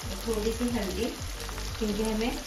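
A woman's voice: medium pitch, moderate pace, roomy sound, over loud music, poor audio. Woman giving a recipe voice-over. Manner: plain instructive, steady explaining each step. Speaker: cooking channel host.

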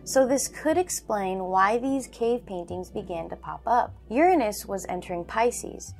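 A young woman presenting scripted documentary narration in a measured, explanatory manner. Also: mid-range voice, lively intonation, vocal fry, faint music behind. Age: young adult.

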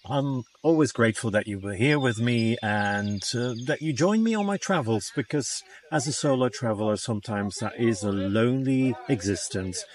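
A man's voice: medium pitch, slight background noise. A man in his fifties talking casually to the camera outdoors as a van life vlogger, in a soothing, reflective, chatty way. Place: outdoors by a parked van and a beach shelter.

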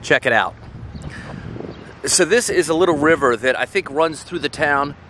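A man's voice: fairly high pitch, normal speed. A man, talking casually to a handheld camera while out fishing by creeks and lakes. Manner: relaxed, chatty, upbeat, with fillers. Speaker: fishing vlogger. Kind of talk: casual vlog talk to camera. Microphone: handheld action camera at arm's length. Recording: faint outdoor background noise.

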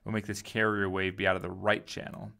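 A man giving a casual software tutorial, informally thinking aloud as he goes. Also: deep voice, little pitch movement, fairly quick, vocal fry. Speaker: tutorial host.

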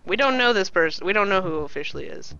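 A man with a high, bright voice coaches a friend on the game controls over voice chat. His pitch moves up and down a lot. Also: expressive, smooth, lo-fi sound. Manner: explains controls, quick coaching tips. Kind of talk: casual gaming chat.

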